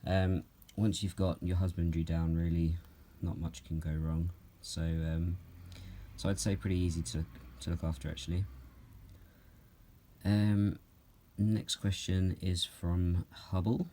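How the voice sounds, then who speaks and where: A young man from Norway talks through viewer questions at home with his snakes. His voice sits very low and mellow, and it stays level, without much rise or fall. He speaks in a calm, unhurried way, full of ums and soft laughs. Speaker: reptile keeper answering viewer questions. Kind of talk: relaxed Q&A vlog. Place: at home, handling snakes while filming.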